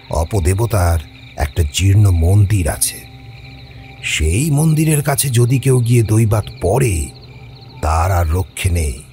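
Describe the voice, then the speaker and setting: A man's voice: fairly low pitch, a little mellow. A man playing a superstitious village servant who gives ominous, deferential warnings in an audio story. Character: superstitious local male servant giving fearful warnings.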